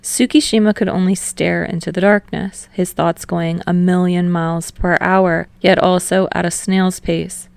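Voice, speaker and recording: Low, creaky woman narrating fiction. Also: level tone, unhurried, few pauses, no room sound. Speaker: podfic narrator.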